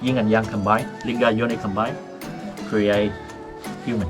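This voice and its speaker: A man's voice: on the low side, flat delivery, moderate pace, music in the background. A man with a Vietnamese accent, a native Vietnamese speaker, explaining things to visitors as a tour guide in simple, broken English, joking and chuckling often. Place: outdoors at ancient temple ruins.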